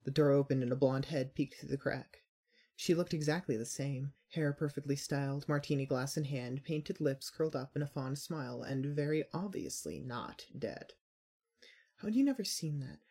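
A man narrating a dramatic second-person story in a solemn, deliberate, emphatic way. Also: on the high side, vocal fry, a little mellow, slightly rough.

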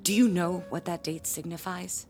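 A woman playing an earnest, nervous churchgoer in an audio drama, halting at times and speaking with formal, theatrical solemnity. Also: lowish voice, steady tone, a little mellow, faint music behind, lightly acted. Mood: grandiose.

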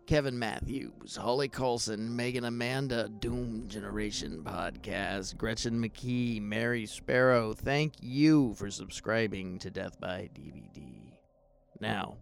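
A man hosts a podcast in a fairly low voice with plenty of gravelly fry. He delivers casual announcements and sign-offs. Faint music sits behind him. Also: slow. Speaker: podcast host.

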